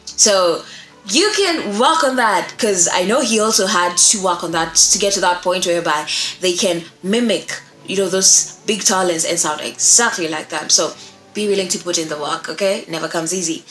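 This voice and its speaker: A woman's voice: fairly low pitch, moderate pace, a bit bright. A young woman giving a casual, encouraging tutorial to camera, repeating key points for emphasis. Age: young adult. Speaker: voice acting instructor. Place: small indoor room. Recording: echoey room, faint music behind.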